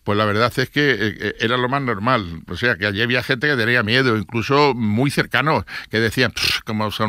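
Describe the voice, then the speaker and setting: An older man the police speaks spontaneously. His voice is mid-pitched, sharp and forceful. He halts, repeats and stresses his points, recorded dry. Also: no echo. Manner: halting, repetitive, emphatic. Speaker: former police inspector interviewed. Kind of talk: spontaneous interview testimony.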